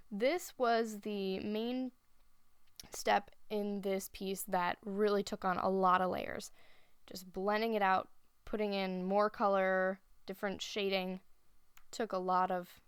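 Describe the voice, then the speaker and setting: A woman giving a relaxed voice-over, explaining her painting steps as she thinks aloud. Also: mid-range voice, clear, resonant tone, no echo. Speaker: art instructor. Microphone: voice-over recorded separately.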